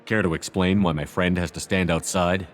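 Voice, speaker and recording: A man's voice: low voice, fairly even intonation, moderate pace, natural voice. An actor playing a stoic, confident male soldier in a video game, speaking in a terse, matter-of-fact way. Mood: guarded. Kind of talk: video game dialogue acting.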